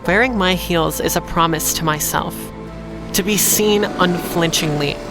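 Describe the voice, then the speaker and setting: A woman playing a wry, defiant working-class heroine, telling her own story deliberately in an audio drama. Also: on the low side, over music, lightly acted. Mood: reflective, defiant. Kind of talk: first-person audio drama narration.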